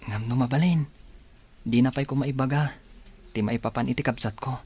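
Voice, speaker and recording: A man's voice: mid-range voice, normal speed, darkish tone, slightly rough, natural voice. A man playing an earnest, pleading young village son in a radio drama. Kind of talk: Ilocano radio drama acting.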